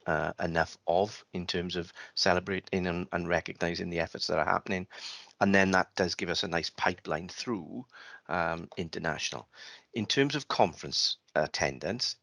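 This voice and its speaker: A man's voice: low-pitched, pushed and bright, no room sound, studio quality. A man chairing an online meeting over a video call, talking at length with many restarts and fillers.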